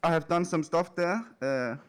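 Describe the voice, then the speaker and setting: Nervous Danish man, slightly high voice. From Denmark. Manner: nervous, hesitant, halting, giggly. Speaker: speaker at a live event. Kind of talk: informal anecdotal stage talk. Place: in front of a large live audience.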